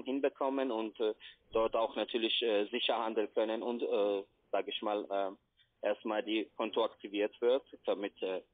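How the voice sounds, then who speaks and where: Low-voiced salesman on the phone. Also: dry recording. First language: not German. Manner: wordy, rambling. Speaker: call center investment agent. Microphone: phone call. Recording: tinny dry phone line.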